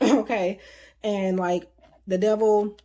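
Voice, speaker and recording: A woman talks through a tarot reading in a loose, chatty way, full of "like" and "um". Her voice is starting to wear and sounds slightly hoarse. Her pitch sits in an ordinary middle range for a woman. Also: steady tone, slow pace, mellow. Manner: chatty, rambling, frequent fillers. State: voice getting hoarse. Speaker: tarot reader. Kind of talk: casual tarot reading to camera.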